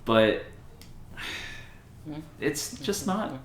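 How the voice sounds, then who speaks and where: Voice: mid-range voice, sing-song, very slow, crowd noise. A podcast co-host chatting casually about movies and games, swearing and sounding mock-exasperated. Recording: roomy echo with faint noise.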